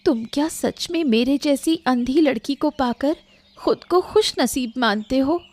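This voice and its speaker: High-voiced, plaintive young wife, acted by a woman. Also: unhurried, a little mellow. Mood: hopeful, unsure. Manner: earnest, plaintive. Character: gentle, self-doubting young blind wife. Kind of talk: Hindi cartoon story voice acting.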